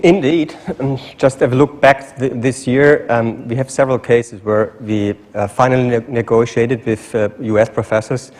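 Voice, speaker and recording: German accent guy, university boss type, talking on a discussion panel, average pitch. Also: a little mellow.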